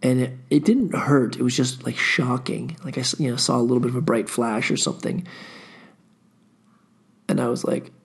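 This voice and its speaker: A stuffed-up man rambling through a loose, profane solo monologue, tossing in fillers as he wanders. His voice is gravelly and husky, with fry at the ends of phrases. He sounds congested, like he's fighting a cold. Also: medium pitch, normal speed. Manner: casual, profane, wandering storytelling with frequent fillers. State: stuffy nose, under the weather. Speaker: podcast host.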